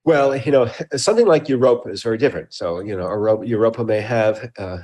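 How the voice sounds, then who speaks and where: A middle-aged or older man, a research scientist speaking as an interview guest, explains technical matters in a deliberate, precise way. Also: on the low side, lively intonation, darkish tone.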